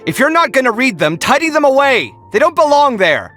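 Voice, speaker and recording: An actor playing a sarcastic, easily exasperated young housemate in a game cutscene. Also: male voice, very high-pitched, very animated, fairly quick, pushed and bright, faint music behind, full character voice.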